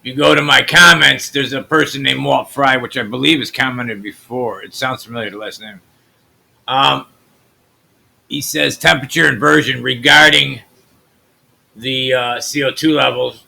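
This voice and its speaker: Gravelly, bright-edged man rambling over his screen. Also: fairly even intonation, normal speed. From US Midwest. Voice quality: naturally deep voice. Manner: loose and wandering, trailing off into mutters. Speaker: channel host. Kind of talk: rambling screen-share commentary.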